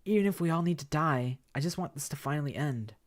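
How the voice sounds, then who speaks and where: Brief: man doing a high, strained young woman, broken and pleading. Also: animated, fast, close to an everyday voice. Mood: desperate. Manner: shaky, pleading and worn out. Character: despairing young woman trapped in a time loop.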